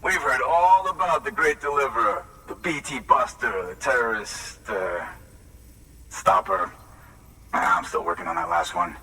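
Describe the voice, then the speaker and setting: Animated, bright-voiced eager outpost guy. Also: mid-range voice, measured pace, far from the mic, a little hiss or hum, rough recording. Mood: impressed, playful. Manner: excitable, casual American slang. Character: upbeat eager male outpost worker, friendly, starstruck. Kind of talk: video game cutscene acting.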